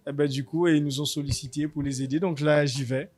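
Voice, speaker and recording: A man, director of a dance school, explaining competitions in halting sentences with many restarts. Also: on the high side, steady tone, a little mellow. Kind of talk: interview answers.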